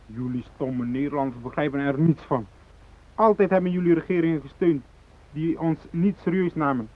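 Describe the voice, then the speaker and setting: An actor in a radio drama playing a fanatical, unyielding hijacker who speaks in cold, threatening declarations. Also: male voice, a little high, moderate pace, lightly acted. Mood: bitter, defiant. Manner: declarative, threatening, ideological speechmaking. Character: fanatical South Moluccan hijacker, unyielding, cold, righteous militant. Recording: thin phone-like radio drama recording.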